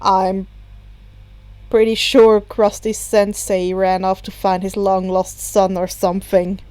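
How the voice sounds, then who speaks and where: A woman's voice: mid-range voice, flat delivery, slow, darkish tone, no echo, pitched below the performer's own voice, natural voice. Grumbling young male villain, played by a woman, voice dropped, sarcastic and dismissive, storybook reading.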